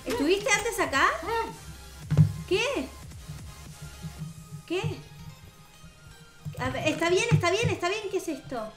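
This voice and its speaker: A young woman with a Rioplatense Spanish accent, hosting a gaming video and improvising roleplay in an expressive, sweet, childlike way. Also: very high-pitched, slow pace, pauses often. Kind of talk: improvised in-character gameplay roleplay. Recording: roomy echo, music behind. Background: background music.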